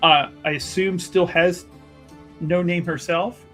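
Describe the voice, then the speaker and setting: A man's voice: sits high, fairly even intonation, takes it slowly, a bit bright, soft background music. A man playing a tabletop roleplaying game, narrating a character casually in a wry, discursive way.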